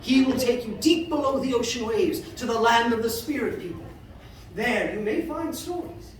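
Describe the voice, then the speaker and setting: Brief: grand, self-satisfied eagle, woman performing, lively pitch. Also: normal speed, slight background noise, natural voice. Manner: pompous, grand. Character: proud, vain great eagle, male. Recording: echoey room, mic far off.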